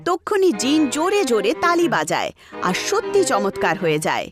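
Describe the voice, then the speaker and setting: A woman narrates a cartoon story in a high, lively voice. Her pitch moves up and down a great deal, and music plays clearly behind her. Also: brisk.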